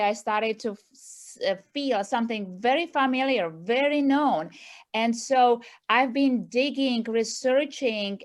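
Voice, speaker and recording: A middle-aged woman from Czechoslovakia with a Czech accent, speaking earnestly to a group as the founder of an advocacy movement and often adding "right?" to her points. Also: fairly high pitch. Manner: earnest, repeats points with tag questions. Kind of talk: speech to a group meeting.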